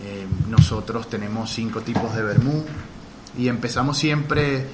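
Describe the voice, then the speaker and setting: A man from Venezuela talks about his vermouth bar in passionate detail, often pausing on small hesitations. A clear background noise sits under his voice, and his pitch is mid-range. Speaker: guest, vermouth bar owner. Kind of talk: interview.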